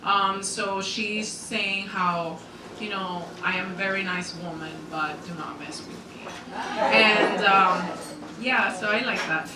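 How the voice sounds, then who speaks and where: A Venezuelan-accented soprano, a native Spanish speaker, talking casually and self-deprecatingly before singing at a small salon. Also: female voice, medium pitch, steady tone, slow pace. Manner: chatty, self-deprecating, frequent ums. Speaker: guest soprano. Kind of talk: casual onstage introduction. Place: small salon performance venue. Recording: roomy, distant, noisy live room.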